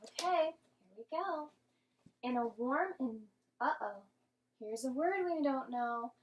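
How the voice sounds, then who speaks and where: Woman, primary teacher, fairly high voice, very slow pace, instructional phrasing, read-aloud lesson for small children. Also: animated, slight background noise. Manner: clear instructional phrasing, questioning, sounding out syllables.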